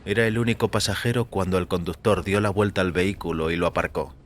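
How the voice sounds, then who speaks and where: A man reading scripted first-person fiction as narrator, in a measured, composed manner. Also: sits low, fairly even intonation, slight background noise. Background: music.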